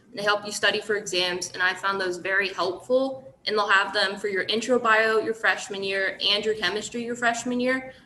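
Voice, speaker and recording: A woman's voice: mid-range voice, sharp tone, echoey room, a little hiss or hum, rough recording. A young woman, a student, giving upbeat and eager study advice to incoming students. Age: young adult.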